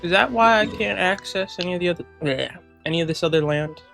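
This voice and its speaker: A man's voice: high-pitched, fast talker, gravelly, fairly bright tone. A man giving casual, offhand gameplay reactions as a streamer, informal and prone to swearing. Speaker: video game streamer. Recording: faint game music behind.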